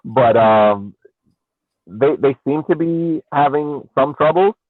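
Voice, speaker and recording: Man on a tinny phone-like line, halting. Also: medium pitch, expressive, no echo. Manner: halting, searching for words with repeats. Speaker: podcast co-host. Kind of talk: casual soccer analysis. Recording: tinny, phone-like narrowband call audio.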